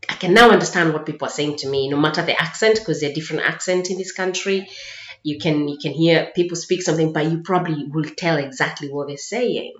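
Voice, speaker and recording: A woman with a Kenyan accent telling personal stories in a casual, chatty vlog style. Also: sits low. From Mombasa, Kenya. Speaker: vlogger sharing personal experiences. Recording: roomy echo, dull lo-fi sound.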